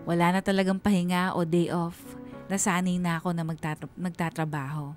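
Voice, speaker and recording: A woman's voice: lowish voice, level tone, a little fast, faint music behind, close to an everyday voice. Woman narrating as earnest middle-aged Filipina mother. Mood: worried, reflective. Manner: reflective, earnest. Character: weary, earnest single mother recounting life. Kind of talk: confessional radio drama narration.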